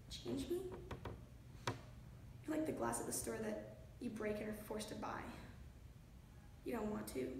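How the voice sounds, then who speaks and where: A very echoey room carries a woman's portrayal of a scared, defiant young female psychiatric patient. The delivery is an intense, accusing stage monologue in a fairly high, sharp voice with faint noise behind it. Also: far from the mic.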